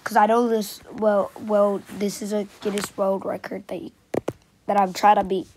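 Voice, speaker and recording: A young woman vlogging with a stuffy cold, sniffling, talking in unhurried bursts that jump into excited gasps and squeals. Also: medium pitch, background noise. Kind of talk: casual screen-recording vlog.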